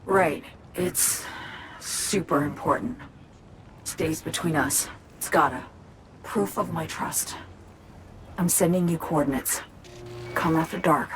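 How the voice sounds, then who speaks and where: A woman's voice: low voice, slow pace, long pauses, noisy background. A woman playing a secretive, intense hacker in a video game, speaking in short, confiding, guarded phrases. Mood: secretive, earnest. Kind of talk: cinematic game acting, confiding dialogue. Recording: music behind the voice.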